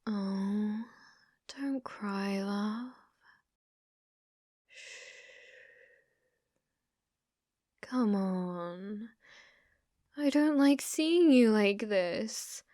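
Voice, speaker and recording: A woman's voice: mid-range voice, very slow, long pauses, warm tone, no echo, clean studio sound. A British woman playing an obsessive, sweetly possessive young female stalker in an ASMR roleplay, coaxing and often dropping into whispers. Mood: tender, possessive.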